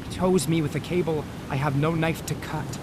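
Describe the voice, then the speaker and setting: An actor playing a dutiful but conflicted first mate of a whaling ship, speaking archaic lines with earnest anguish in an audio drama. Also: male voice, fairly even intonation, moderate pace, lightly acted. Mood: tormented. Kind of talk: theatrical audio drama acting. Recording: clear noise, low rumble of ship ambience.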